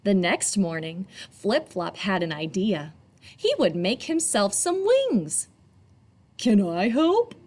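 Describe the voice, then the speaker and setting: A woman reading a picture book aloud to children expressively, in a teacherly way, pausing for young listeners. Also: mid-range voice, very animated, darkish tone.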